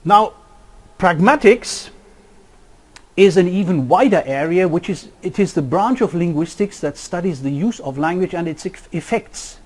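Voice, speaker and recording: A man lecturing on linguistics. His pitch is animated and sits a little high, swinging up on his rhetorical questions. He delivers a scripted lesson with deliberate pauses for emphasis.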